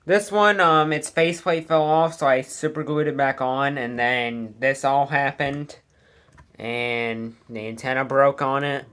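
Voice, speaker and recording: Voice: medium pitch, a bit bright. An American speaker with an informal, rambling, matter-of-fact way of talking, showing off a collection in a home video. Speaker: weather radio collector presenting a collection. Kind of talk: casual product showcase vlog. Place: indoor room at home.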